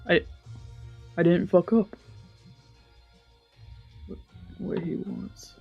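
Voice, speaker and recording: A woman streamer talking casually and swearing a little as she plays and reads out a visual novel, with a husky voice. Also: on the low side, little pitch movement, extremely slow, muffled recording. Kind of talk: casual let's-play with dramatic read-aloud translation. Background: game music faintly behind.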